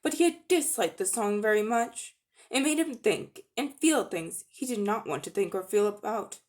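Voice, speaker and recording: A woman narrating a children's story as a dramatic reading, with expressive storytelling delivery. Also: mid-range voice, moderate pace. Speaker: audiobook-style narrator.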